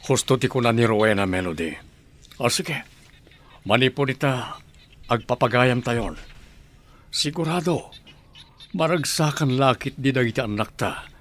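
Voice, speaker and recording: A man's voice: mid-range voice, unhurried, long pauses, a bit bright. A man playing a devoted, conciliatory middle-aged husband and father in a radio drama, with an Ilocano Filipino accent. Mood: reassuring.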